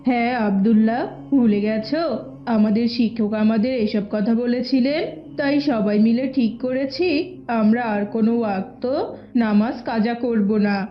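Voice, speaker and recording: A woman's voice: a little high, moderate pace, natural voice. A woman voicing a pious young schoolboy who earnestly persuades a friend in an Islamic moral cartoon. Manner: earnest, explanatory. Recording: dull lo-fi audio.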